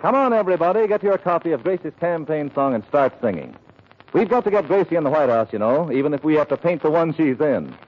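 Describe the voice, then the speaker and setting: A male radio announcer with a slightly high, animated voice. He delivers polished, emphatic 1940s advertising copy. The old broadcast recording is dull with a little hiss. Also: fast talker.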